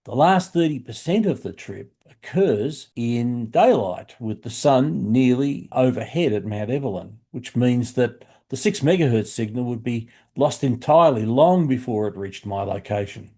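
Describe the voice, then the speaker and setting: Australian male presenter, soft mellow tone, mid pitch, measured and friendly explainer narration. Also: lively intonation. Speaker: shortwave radio hobbyist presenter. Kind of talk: explanatory narration for a hobby video.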